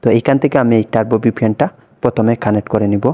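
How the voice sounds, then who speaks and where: A man's voice: on the low side, fast. A young man walking viewers through an app tutorial step by step, repeating each action as he goes. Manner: steady step-by-step instructions, much repetition. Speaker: tutorial host. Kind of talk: screen-recorded app tutorial.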